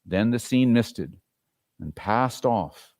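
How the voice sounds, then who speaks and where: A man giving an expressive, theatrical dramatic reading of a story as narrator. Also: mid-range voice, takes it slowly. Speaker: dramatic reader.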